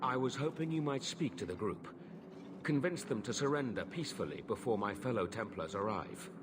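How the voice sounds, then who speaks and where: An actor voicing a weary, earnest middle-aged knight in a video game, speaking in a formal, measured way. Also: male voice, mid-range voice, animated, background noise, natural voice. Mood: grave, urging. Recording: music behind the voice.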